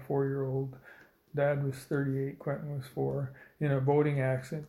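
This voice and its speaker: A man in his seventies from Indiana recalls his past in an oral history interview, pausing often on fillers. His voice is gravelly and stays level in pitch. The recording carries a roomy echo. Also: dark tone. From Indiana, United States. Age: 70s. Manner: slow, hesitant storytelling with many fillers. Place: old building, a former dormitory.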